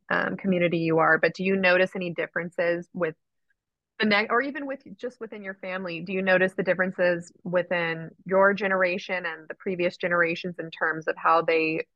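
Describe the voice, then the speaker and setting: A woman's voice: on the low side, no echo, muffled recording. A woman hosting a podcast interview who asks long, reflective questions and affirms what her guest says. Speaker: podcast host. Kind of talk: interview chat.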